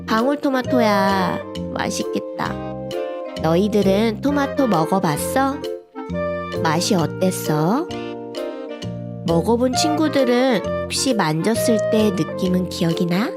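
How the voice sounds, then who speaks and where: Gentle, playful woman reading to children. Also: mid-range voice, lively intonation, a little slow, over loud music. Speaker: children's book reader, channel host. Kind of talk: storybook introduction for young children.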